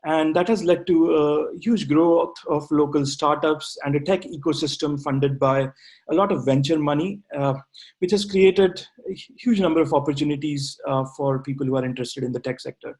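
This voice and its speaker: A man, speaking as an MBA graduate in a webinar, pausing often on "uh" and restarting phrases. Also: fairly high pitch, darkish tone. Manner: hesitant, with frequent "uh" fillers and restarts. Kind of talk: webinar talk answering questions.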